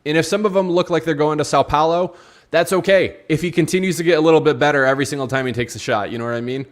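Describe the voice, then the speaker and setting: A man's voice: on the high side, rapid-fire. A man chatting casually as a football pundit on a talk show, slangy and matey, repeating points for emphasis. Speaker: football show co-host or guest. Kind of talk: casual football analysis chat.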